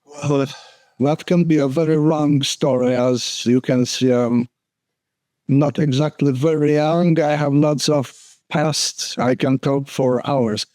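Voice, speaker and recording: An older man with a Polish accent, a guest photographer answering questions in an earnest, unhurried way, often restarting his phrases. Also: on the high side, fairly even intonation, a little mellow, no room sound. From Poland. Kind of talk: casual interview.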